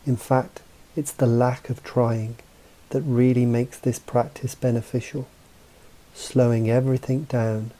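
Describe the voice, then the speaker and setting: A man guiding a mindfulness meditation as a coach, speaking in a calm, measured, instructive way with pauses between phrases. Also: mid-range voice, poor audio. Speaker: meditation guide and mental coach. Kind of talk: guided mindfulness meditation narration.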